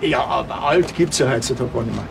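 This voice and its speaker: An actor playing a dry, unimpressed middle-aged policeman in a TV crime comedy, deadpan. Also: mid-range voice, fairly quick, creaky, background noise.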